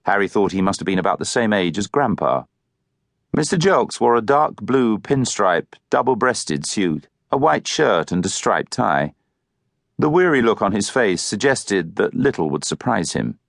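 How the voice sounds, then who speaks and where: A man's voice: fairly low pitch, sing-song, moderate pace, vocal fry, no echo, clean studio sound. A man reading a novel as an audiobook narrator, in scripted literary prose.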